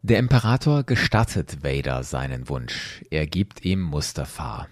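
A man narrating a dramatic story as host, expressive and addressing listeners directly. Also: a bass voice, a little slow, dry recording.

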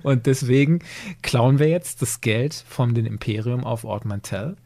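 A man's voice: medium pitch, a little slow, darkish tone. A man hosting a podcast, retelling a story in a conversational way. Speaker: podcast host.

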